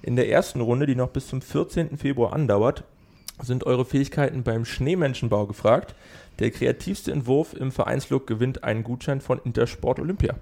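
A man co-hosting a club podcast, reading sponsor and club news in a steady way with small slips. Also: medium pitch, normal speed, creaky. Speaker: podcast co-host.

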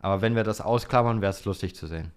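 Male podcast co-host with a fairly low voice, relaxed conversational phrasing that circles back on itself. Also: fast, smooth. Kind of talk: casual chat about motorsport.

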